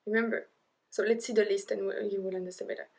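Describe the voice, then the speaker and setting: A young woman with a French accent, teaching an online language lesson, drilling number words slowly with chatty asides. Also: mid-range voice, steady tone, a little mellow. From French-speaking Europe.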